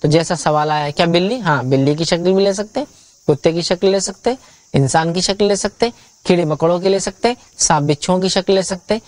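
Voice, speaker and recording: A man's voice: sits high, fast talker, no room sound. A middle-aged man giving a religious lecture, explaining a question through emphatic restatement and repetition on a studio stage. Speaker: Islamic preacher.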